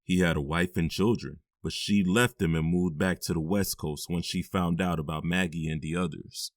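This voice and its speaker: Man playing a middle-aged stepfather, very low voice, firm, weary, sighing, dry close sound. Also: steady tone, moderate pace, dry recording, natural voice. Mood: confiding. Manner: firm, weary, sighs often. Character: stern but loving middle-aged stepfather. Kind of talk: audio drama acting.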